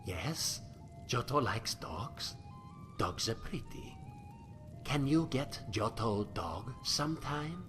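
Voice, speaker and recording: A man's voice: medium pitch, wildly swooping pitch, slow pace, over music. A man voicing a simple, wistful cat-man hunter and former sailor in a video game, talking in broken English about himself in the third person. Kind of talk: video game character dialogue.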